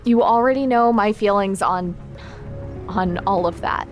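An actress playing a caring but exacting magic trainer in a naturalistic audio drama, frank and instructive in manner. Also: female voice, mid-range voice, a little slow, soft background music, lightly acted. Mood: frank, concerned. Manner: frank, instructive, gently concerned.

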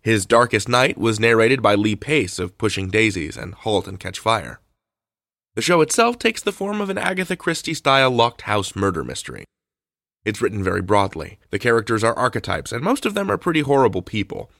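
A male podcast host gives a conversational introduction with a playful streak. His voice is on the low side, creaky at the edges and widely varied in pitch, recorded close and dry. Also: big pitch swings, brisk, fairly bright tone, no room sound.

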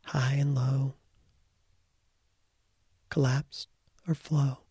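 A man leading a guided meditation as its teacher, speaking in short, deliberate phrases with pauses and a gravelly, husky voice. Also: medium pitch, level tone, warm tone, dry recording, clean studio sound.

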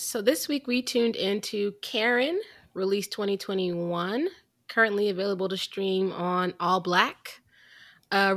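A woman's voice: mid-range voice, fairly bright tone. A woman co-hosting a podcast in casual, playful chat, laughing easily. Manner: playful, laughs often, sings jokey intros. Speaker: podcast co-host.

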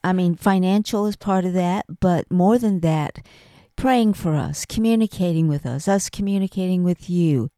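A middle-aged woman co-hosting a podcast, talking to listeners in an earnest, gentle, conversational way. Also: fairly low pitch, moderate pace, dry recording, studio quality. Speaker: podcast co-host and ministry performer.

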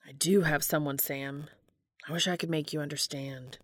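A woman's voice: low voice, steady tone, normal speed. A woman voicing a weary, earnest adult man full of longing in an audiobook story, in a subdued manner. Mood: pleading.

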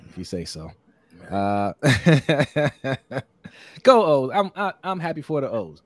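A hoarse man hosting a casual live-streamed sports podcast, upbeat and repetitive, reading out viewer comments and giving shout-outs. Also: medium pitch, big pitch swings, slow pace, no room sound. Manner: upbeat, repeats phrases, many shout-outs. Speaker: podcast host.

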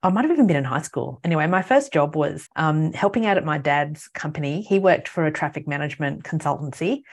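A woman's voice: sits low, animated, fairly quick. An Australian woman, a leadership communication specialist, chatting as a guest and telling stories with frequent ums and easy laughter. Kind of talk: casual interview chat.

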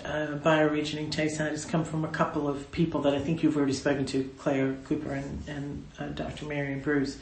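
A woman's voice: sits low, a little hiss or hum. Older woman, community trust secretary, thoughtful and wry, some room echo. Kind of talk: conversational interview. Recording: roomy echo.

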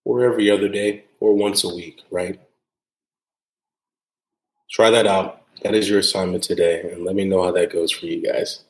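Deep, flat-voiced man hosting a podcast. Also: reverberant, low-quality recording. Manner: earnest, repeats phrases for emphasis; frequent tag "right?". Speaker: podcast host. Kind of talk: solo podcast monologue.

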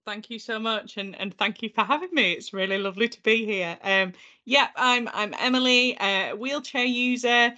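A woman's voice: fairly high pitch, steady tone, normal speed, bright, forceful tone. A woman in her thirties with a Yorkshire accent, a podcast guest telling her own story with some repeated words. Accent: Northern English, Yorkshire. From North Yorkshire, England. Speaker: guest, accessibility consultant. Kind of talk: conversational podcast interview.

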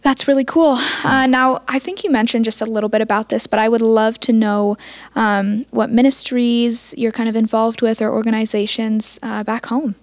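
A woman's voice: a little high, steady tone, normal speed, no room sound. A woman interviewing a guest, with frequent encouraging short responses.

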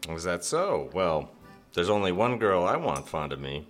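A man's voice: deep voice, very animated, moderate pace, a bit bright, soft background music. An actor playing an eager, courteous young executive courting a woman in a live radio drama. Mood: smitten, earnest. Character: eager, gentlemanly young Princeton-educated executive suitor.

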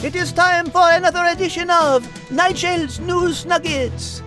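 High-voiced, poised French cat. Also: female voice, steady tone, measured pace, a bit bright, soft background music. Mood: playful, unruffled. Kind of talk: children's audio drama voice acting.

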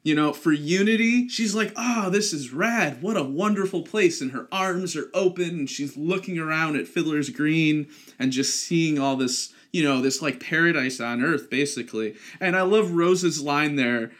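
A man co-hosting a casual podcast, talking with enthusiasm and acting out lines in a lively way. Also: a little high, normal speed, few pauses. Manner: enthusiastic, acts out quoted lines. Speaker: podcast co-host.

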